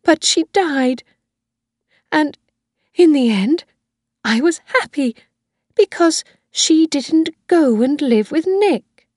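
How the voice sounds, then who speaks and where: Lady doing a high shrill old granny voice, clingy and possessive, slow, dry audiobook sound. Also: expressive, darkish tone, dry recording. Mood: confessing. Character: old woman, grandmother, frail and obsessive. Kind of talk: audiobook reading.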